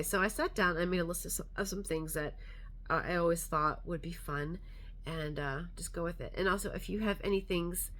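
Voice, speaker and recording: A woman's voice: low voice, fairly bright tone. A woman vlogger chatting casually to camera, congested and a little nasal, rambling with lots of fillers.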